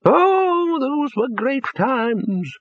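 A man voicing a very old, rambling male wizard who reminisces in a high, quavering, chuckling voice. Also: very animated, very slow, full character voice. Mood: gleefully rambling. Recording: dull lo-fi, dry.

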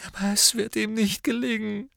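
A man voicing a desperate, pleading middle-aged witch, a frightened mother, in a breathy, tearful whisper for an audiobook story. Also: fairly high pitch, huge pitch swings, unhurried, a bit bright, dry recording, clean studio sound, pitched above the performer's own voice, heavily acted. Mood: despairing. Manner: imploring, broken phrasing.

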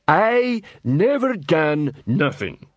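Guy doing a big dumb sulky troll for an audiobook, slow growly talk, husky. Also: fairly high pitch, sing-song, dry recording, lo-fi sound, voice pushed up, exaggerated voice. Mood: sullen, defensive. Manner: slow, sullen, ungrammatical growl. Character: hulking suspicious male troll, sullen and slow-witted. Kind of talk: audiobook character voice.

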